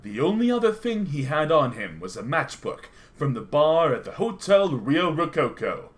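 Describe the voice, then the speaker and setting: A man's voice: on the high side, very animated, moderate pace. A man playing a hardboiled private detective, narrating his noir case in a wry, slangy radio-play style. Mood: wry, world-weary. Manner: dramatic, slangy, wry storytelling. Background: music.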